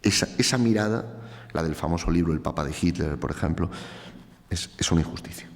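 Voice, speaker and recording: Deep-voiced Spanish man lecturing in a hall. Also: a little fast. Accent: Spanish from Spain. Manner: earnest, emphatic, repeats phrases for stress. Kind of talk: public lecture. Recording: reverberant hall.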